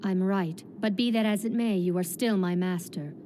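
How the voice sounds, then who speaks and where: A woman's voice: medium pitch, moderate pace, a little hiss or hum, close to an everyday voice. An actress playing a proud, resolute young female knight spirit in an anime dub, speaking formally and with composure. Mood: solemn, loyal. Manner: formal, composed, solemn diction. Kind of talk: anime English dub acting. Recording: loud dramatic music behind.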